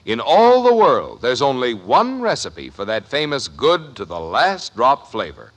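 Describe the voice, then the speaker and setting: A male announcer delivers a 1950s radio advertisement. He is emphatic and salesmanlike, with a mid-pitched voice that rises and falls dramatically. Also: sing-song, measured pace, a bit bright. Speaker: commercial announcer.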